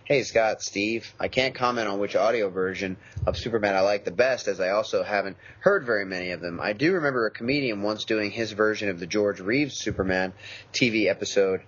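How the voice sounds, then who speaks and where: Low husky man reading listener mail. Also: a little fast, fairly bright tone. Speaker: radio show co-host. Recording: dull lo-fi audio.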